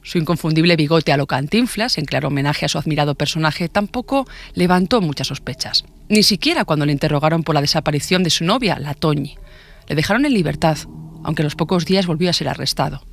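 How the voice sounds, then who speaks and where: Male true-crime narrator, Spain accent, higher-pitched voice, fast delivery, scripted storytelling. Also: animated, creaky, a bit bright. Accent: Spanish, from Spain. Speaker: podcast narrator.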